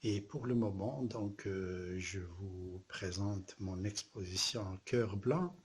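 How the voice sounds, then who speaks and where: A man from southern France, an artist presenting his exhibition in a slow, halting way, with pauses and repeated words. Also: deep voice, husky. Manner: repeats poetic refrains. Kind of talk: casual spoken address to camera.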